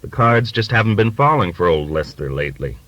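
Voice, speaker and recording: A man's voice: sits low, sing-song, fairly bright tone, dry recording, highs cut off. A man voicing a plump, middle-aged hustler in an audiobook, jovial and teasing, with a folksy, cajoling way of talking. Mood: sheepish, joking. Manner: folksy, ingratiating, drawn-out cajoling.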